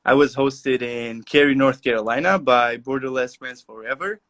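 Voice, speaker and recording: A young man from Albania, answering interview questions over a video call with many "uh" and "um" pauses. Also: medium pitch, fairly bright tone. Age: young adult. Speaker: guest.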